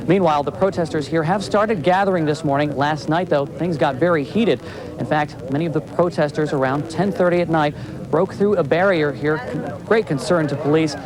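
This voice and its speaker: A man's voice: a little high, normal speed. A man working as a television field reporter, delivering a live news report from outdoors. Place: outdoors on a city street. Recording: outdoor live shot with crowd noise. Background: crowd and street noise.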